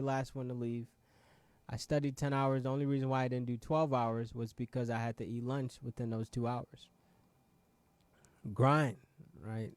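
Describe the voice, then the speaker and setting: A man's voice: mid-range voice, darkish tone, dry recording. Young man from Ghana, motivational host, repeats his questions, dry close sound. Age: 20s. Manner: emphatic, repeats rhetorical questions; frequent restarts and "right?" asides. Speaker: show host. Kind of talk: motivational talk to viewers.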